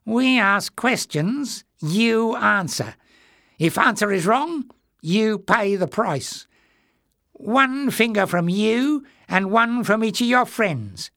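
A high, sneering creature leader, as a male narrator puts him on, cruel and mocking, with clipped broken phrasing. The reading sits close and dry, in an audiobook storybook style. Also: expressive, takes it slowly, sharp tone, no echo, full character voice. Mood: menacing.

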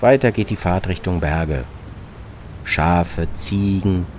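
A man reads a scripted, literary radio travelogue in a measured, reflective manner with clipped descriptive phrases. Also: low voice, crowd noise. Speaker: reporter and narrator of a radio travel feature.

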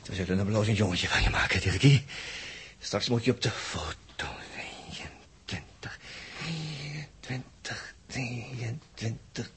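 An actor playing a gruff, jocular spaceship crewman who banters and gives orders in a 1960s radio drama. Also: medium pitch, slow, pauses often, a bit bright. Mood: busy, encouraging. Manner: bantering, commanding. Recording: hissy 1960s radio recording.

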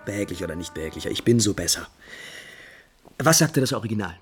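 A man playing an impulsive, refined, lovesick prince in 1950s German radio drama, theatrically shifting between musing and brisk command. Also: fairly low pitch, very animated. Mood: wistful, agitated. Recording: 1950s radio recording with music behind.

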